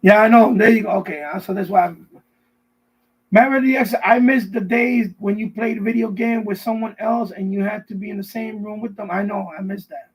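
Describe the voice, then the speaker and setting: A middle-aged man with a notably high voice that has a husky, rough edge. He chats casually as a live stream host, wandering from thought to thought and circling back to repeat himself. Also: fairly even intonation, fairly quick, poor audio. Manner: rambling, repeats himself often. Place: basement.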